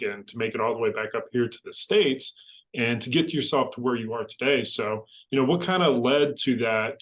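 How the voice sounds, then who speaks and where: A man's voice: medium pitch, a bit bright. Conversational male podcast co-host. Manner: friendly, conversational. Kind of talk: podcast interview hosting.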